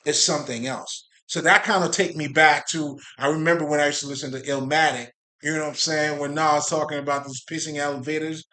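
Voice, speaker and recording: A man's voice: a little high, sharp tone. A man from Haiti talking casually and profanely to his viewers in a rambling monologue about spirituality, often breaking off to laugh and repeat himself. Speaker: spiritual video creator.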